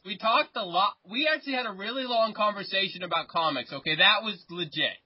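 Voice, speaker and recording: A man's voice: sits high, brisk, husky. A nineteen-year-old man hosting a casual podcast chat with friends, often stammering and restarting his sentences. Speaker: podcast host. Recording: muffled lo-fi sound.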